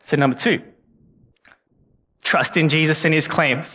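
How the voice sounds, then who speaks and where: A man's voice: on the high side, fairly even intonation, a little slow, bright, forceful tone. A young man preaching a sermon as a guest speaker, earnest and often repeating words as he goes. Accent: non-Canadian English, unplaced. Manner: earnest, frequent word repeats. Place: church hall. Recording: long hall reverb.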